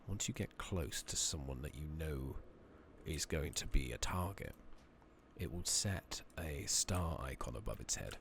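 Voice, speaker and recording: A man doing soft-spoken, partly whispered ASMR game commentary, rambling along in a relaxed way as he thinks aloud. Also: rumbling low, measured pace, gravelly, slight background noise. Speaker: game streamer, ASMR host. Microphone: makeshift new close microphone.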